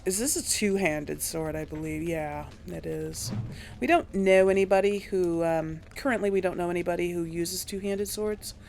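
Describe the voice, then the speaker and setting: A woman's voice: fairly low pitch, fairly even intonation, moderate pace, creaky, a little mellow, a little hiss or hum. A woman giving casual commentary as she plays through a video game as host. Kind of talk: casual let's play commentary.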